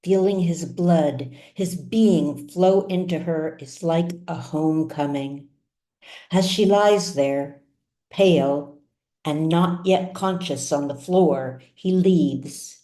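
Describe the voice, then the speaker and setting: Woman, audiobook storyteller, unhurried and deliberate, soft dark tone, dramatic pauses, echoing room recording. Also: deep voice. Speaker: author reading her own serialized novel.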